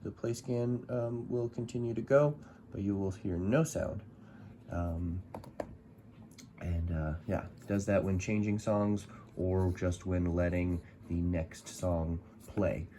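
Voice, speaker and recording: A man with a fairly low voice speaks very slowly over faint background noise. He is reviewing a gadget casually and without a script, pausing often and rambling as he goes. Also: steady tone, warm tone. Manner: halting, rambling delivery with long pauses. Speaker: product reviewer.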